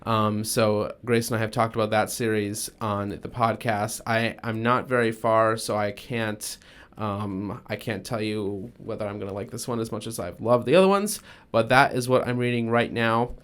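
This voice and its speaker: A young man co-hosting a podcast, chatting casually and relaxed with frequent fillers. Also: on the low side, normal speed, smooth. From United States. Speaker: podcast co-host.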